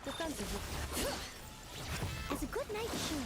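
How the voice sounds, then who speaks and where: A woman's voice: high voice, big pitch swings, moderate pace, loud music behind the voice. A woman playing young female video game fighters, calling out short battle moves. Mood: battle-focused. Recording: quiet game audio under loud noise.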